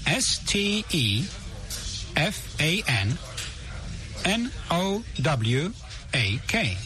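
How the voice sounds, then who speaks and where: A man's voice: on the high side, big pitch swings, extremely slow, bright, forceful tone, heavily acted. A man acting a polite Polish student in a scripted lesson dialogue, speaking careful learner's English. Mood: earnest.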